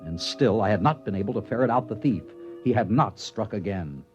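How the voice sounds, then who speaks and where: A man's voice: fairly low pitch, lively intonation, fairly quick, close to an everyday voice. A man playing an earnest, repressed character turning neurotic and jealous, acted for old-time radio science fiction. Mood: brooding. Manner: earnest, agitated, overwrought. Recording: dull old radio recording with music.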